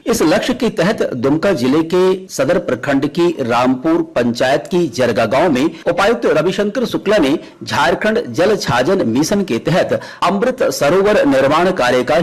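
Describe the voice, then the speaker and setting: A man's voice: fairly high pitch, breathy edge, poor audio. A man delivering a scripted report as a radio news correspondent.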